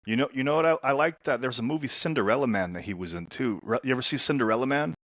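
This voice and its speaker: Lebanese-born guy coaching men, talks fast and excited, repeats himself starting out, dry close sound. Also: medium pitch, dry recording. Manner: energetic, storytelling, repeats words when starting sentences. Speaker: men's transformation coach, guest. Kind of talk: casual interview talk.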